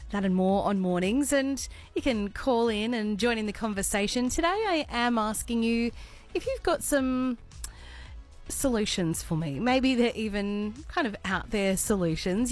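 A hoarse woman with an Australian accent, hosting morning talkback radio in a chatty, friendly way. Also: fairly high pitch. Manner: chatty, friendly, with some ums and uhs. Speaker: radio host. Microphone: studio microphone.

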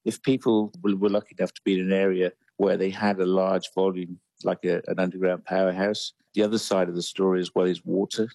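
Low, gravelly engineer explaining calmly. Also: male voice, dry recording. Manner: slow, considered explanation. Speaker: civil engineer interviewed.

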